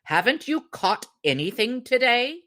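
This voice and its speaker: A woman's voice: a little high, big pitch swings, unhurried, fairly bright tone, voice pushed up. A woman voicing a nagging, discontented storybook wife with an insistent, wheedling way of talking. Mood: prodding.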